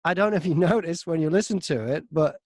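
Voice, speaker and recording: A man's voice: a little high, fast, dry recording. British man in conversational interview, dry sound. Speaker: audiobook narrator and voice-over artist interviewed as guest.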